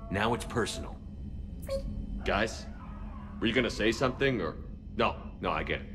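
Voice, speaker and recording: A man's voice: on the low side, close to an everyday voice. A man playing a battle-hardened, determined space commander in a video game, with dry, wry humour. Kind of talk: cinematic video game acting. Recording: music behind the voice.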